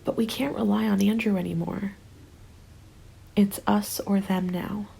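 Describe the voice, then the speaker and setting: A woman playing a starving, bitter former nurse surviving a zombie apocalypse, in a soft, hushed ASMR roleplay that drifts into whispers. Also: medium pitch, fairly even intonation, unhurried, full character voice. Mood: urgent, regretful. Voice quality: creaky, soft. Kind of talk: ASMR dramatic roleplay monologue. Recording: music under the voice. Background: music.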